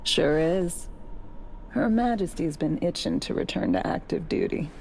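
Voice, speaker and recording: A woman's voice: fairly low pitch, expressive, measured pace, gravelly, a little mellow, slight background noise. A woman playing a breezy, cocky spy in a video game, briefing her team in a casual, slangy, playful way. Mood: casual, wry. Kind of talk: video game cutscene acting. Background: music.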